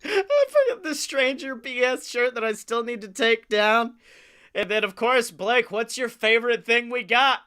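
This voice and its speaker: Bright-voiced man bantering on a podcast. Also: very high-pitched, a little slow, clear, resonant tone. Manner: profane, jokey, animated plugging. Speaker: comedy podcast co-host. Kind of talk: casual comedic podcast banter.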